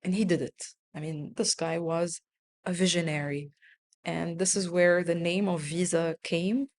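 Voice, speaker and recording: Low-voiced woman, earnest and thoughtful. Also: steady tone, a little slow. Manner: earnest, repeats words while thinking. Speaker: guest, country manager. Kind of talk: conversational interview.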